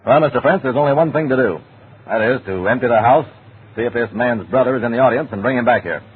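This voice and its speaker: An actor playing a brisk, businesslike police captain interrogating witnesses in an old-time radio drama. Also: male voice, medium pitch, breathy edge. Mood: firm, commanding. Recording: tinny, noisy old radio recording.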